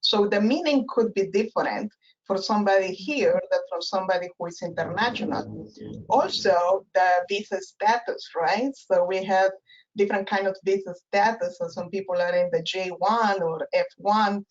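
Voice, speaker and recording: A woman's voice: medium pitch, moderate pace. Spanish-accented woman on a muffled call. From Spanish-speaking country. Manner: thoughtful, many fillers and restarts. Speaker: guest professor. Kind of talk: webinar interview. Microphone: video call.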